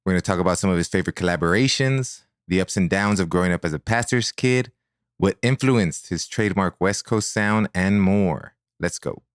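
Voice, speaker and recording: A man's voice: sits low, brisk, clear, resonant tone, dry recording, studio quality. A man hosting a podcast interview, chatting casually in an informal, slangy, joking way. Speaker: podcast host.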